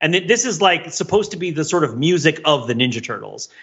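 A man co-hosting a podcast, chatting casually about pop culture in a rambling, digressive, animated way on a slightly lo-fi track. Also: a little high, quick pace. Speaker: podcast co-host.

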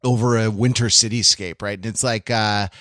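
A man's voice: fairly low pitch, steady tone, moderate pace, a bit bright, no echo, studio quality. A man hosting a podcast in casual, comedic chat, talking in a rambling, digressive and wry way. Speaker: podcast host.

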